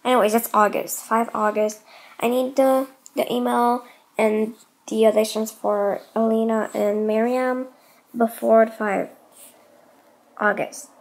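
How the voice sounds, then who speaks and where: A woman's voice: on the high side, steady tone, a little slow. A young woman making a casual announcement to viewers in a hesitant, rambling way, often repeating herself. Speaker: host of a fan voice-acting channel.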